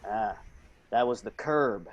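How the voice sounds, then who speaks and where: A man's voice: lowish voice, slow pace, highs cut off, a little hiss or hum. Earnest overprotective tough guy played by a man. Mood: anxious warning. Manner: earnest, emphatic warnings. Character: overprotective, pugnacious, anxious male bodyguard. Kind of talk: improvised comic radio play.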